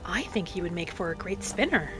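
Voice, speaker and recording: A woman's voice: fairly low pitch, animated, lightly acted. Woman voicing a serene wood elf wanderer over music. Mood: calm, reflective. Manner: measured, formal storybook phrasing. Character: Venra, earnest female wood elf wanderer, serene and devout. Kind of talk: in-character roleplay narration of a fantasy video game.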